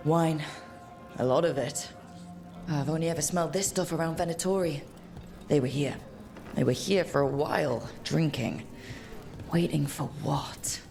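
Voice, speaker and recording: A woman's voice: deep voice, measured pace, creaky, long pauses, darkish tone, soft background music. A woman voicing a cool, observant detective in a fantasy video game, thinking aloud in a terse, matter-of-fact way. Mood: focused, deducing.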